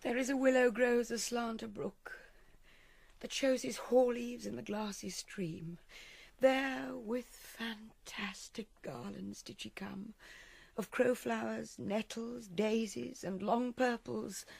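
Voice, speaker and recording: A woman's voice: a little high, vocal fry, darkish tone, no echo, exaggerated voice. An actress playing a mournful, grieving queen in a Shakespearean radio drama, solemn and lyrical. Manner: solemn, measured, lyrical delivery.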